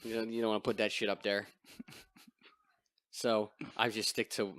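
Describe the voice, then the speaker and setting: A man with a deep voice hosting a casual podcast chat, crude and self-deprecating. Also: little pitch movement, moderate pace, fairly bright tone. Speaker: podcast host. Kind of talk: casual chat over beers.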